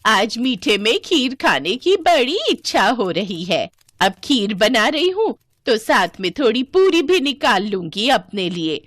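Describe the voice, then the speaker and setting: A woman voicing a grumpy, stingy, devout old village woman who scolds and exclaims in an animated moral story. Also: a little high, no room sound, clean studio sound, full character voice.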